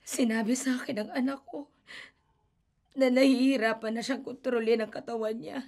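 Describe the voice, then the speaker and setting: A woman's voice: fairly high pitch, steady tone, normal speed, vocal fry, darkish tone. Desperate grieving mother. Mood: anguished. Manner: pleading, emotional. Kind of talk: radio drama acting.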